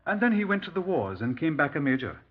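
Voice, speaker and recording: Welsh police sergeant, talkative, parochial, husky, quaint phrasing, radio drama, muffled old recording. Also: male voice, a little high, sing-song, fast. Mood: gossipy, explanatory. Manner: quaint, emphatic, tag questions. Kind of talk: 1950s radio drama acting. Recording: dull, husky-edged 1950s radio recording.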